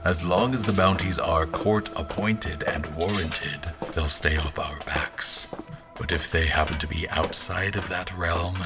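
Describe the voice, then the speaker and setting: An actor playing a wry royal officer, giving measured, literary first-person narration of his journey in an audio drama. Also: sits low, steady tone. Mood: wary. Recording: music under the narration.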